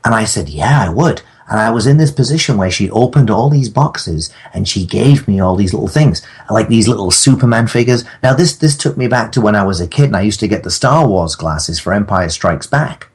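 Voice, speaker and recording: Man, husky, lowish pitch, lively swings, halting comic storyteller, mock-formal wording, casual podcast banter. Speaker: podcast guest, audio drama creator.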